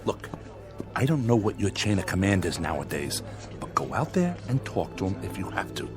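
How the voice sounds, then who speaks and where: A harried TV director, as an actor plays him, brisk and impatient with an animated pitch, coaxing his star along. Music plays under the scene in this radio drama. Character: harried male TV show director.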